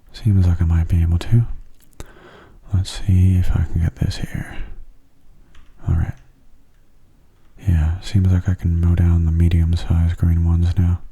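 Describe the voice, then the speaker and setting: A man giving soft-spoken ASMR game commentary in a gentle, breathy, unhurried voice that often drops close to a whisper. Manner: gentle, unhurried, low-key. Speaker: gaming video host.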